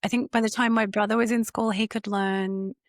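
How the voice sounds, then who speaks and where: A woman's voice: medium pitch, steady tone, brisk, no echo, clean studio sound. A woman in her forties hosting a podcast interview, speaking in a thoughtful, reflective way. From Australia. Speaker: podcast host, CEO.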